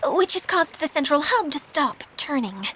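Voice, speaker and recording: High-pitched, timid mascot caretaker. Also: female voice, big pitch swings, normal speed, sharp tone, slight background noise, heavily acted. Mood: earnest, polite. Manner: hesitant, apologetic, courteous. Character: timid, polite, self-doubting female mascot caretaker. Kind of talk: video game voice acting.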